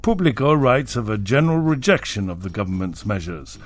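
A man reads the news for radio in a somewhat low voice. His delivery is scripted, in the style of an international bulletin. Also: very animated, brisk. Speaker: radio newsreader.

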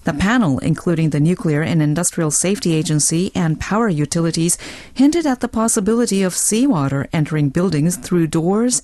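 A woman's voice: lowish voice, a little mellow, no echo. A woman reading a scripted news report for radio.

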